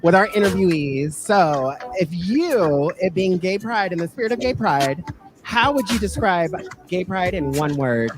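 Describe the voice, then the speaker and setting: A woman's voice: lowish voice, expressive, a little slow. A woman co-hosting a live event with a sassy, playful, casual interviewing style. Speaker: co-host. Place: stadium at a Pride event.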